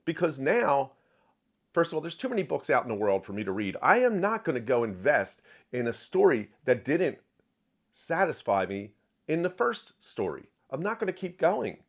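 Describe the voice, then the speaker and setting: Animated man reviewing a book. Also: mid-range voice, normal speed. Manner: emphatic, repeats phrases for stress. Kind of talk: opinionated book review to camera.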